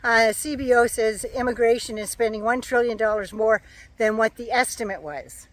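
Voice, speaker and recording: A woman giving a sarcastic, profane political rant as a vlogger, her voice going hoarse. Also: fairly high pitch, moderate pace. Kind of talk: casual ranting monologue.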